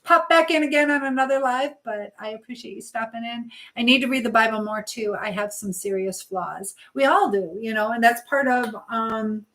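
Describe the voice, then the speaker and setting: A woman's voice: fairly high pitch, moderate pace, few pauses, a bit bright, low-quality recording. A woman hosting a casual live stream, talking in a chatty, affectionate way with viewers and reading their comments aloud. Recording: clear background noise with clicking sounds.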